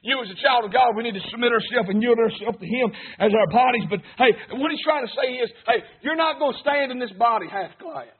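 A man's voice: very high-pitched, quick pace, hardly any pauses, slightly rough. A man preaching an emphatic revival sermon, punctuating lines with "hey" and "amen". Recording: tinny phone-like sound.

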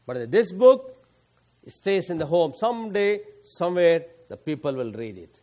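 A high-pitched man with an Indian accent preaches to a church. He speaks earnestly as a visiting pastor, often breaking off and restarting his phrases. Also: big pitch swings, normal speed, a little mellow. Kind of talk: sermon to a church congregation.

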